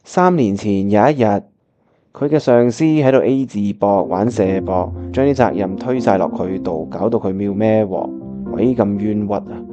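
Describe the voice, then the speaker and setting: A man's voice: lowish voice, normal speed, close to an everyday voice. An actor with a Hong Kong Cantonese accent playing a lovestruck, scheming young man who narrates a radio drama in colloquial, earnest style over music. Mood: reflective storytelling. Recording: dull lo-fi audio with music behind.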